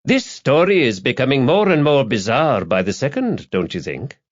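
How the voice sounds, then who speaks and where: A man playing a sly, higher-pitched male reporter. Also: sing-song, moderate pace, muffled recording. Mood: probing. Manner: insinuating, conversational, fishing for information. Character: sly, probing male newspaper reporter, needling and insinuating.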